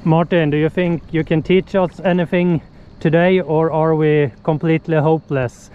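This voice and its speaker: A man's voice: fairly high pitch, flat delivery, a little hiss or hum. A man in his thirties with a Swedish accent, hosting a casual outdoor vlog and talking to a camera held at arm's length. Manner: slow, cheerful, plain non-native English. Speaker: vlogger host. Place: outdoors by a lake. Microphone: action camera held at arm's length. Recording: dull outdoor camera audio.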